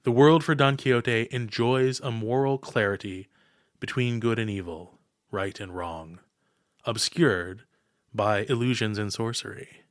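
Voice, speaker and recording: A man reads a scripted essay in a formal, oratorical style. He phrases deliberately and pauses between clauses. The recording is dry and close, with no room sound. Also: fairly low pitch, dry recording. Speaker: podcast host.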